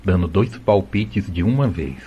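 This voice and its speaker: A man's voice: low voice, expressive, measured pace, highs cut off. A man with a Brazilian accent reading scripted storybook narration for an audio drama.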